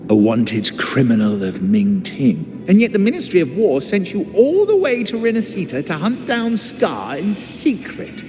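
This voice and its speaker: A man's voice: on the high side, huge pitch swings, a little slow, few pauses. An actor playing a mocking, cryptic male acolyte villain in a video game cutscene, theatrical and taunting. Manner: theatrical, taunting, swooping delivery. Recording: reverberant game audio with loud music.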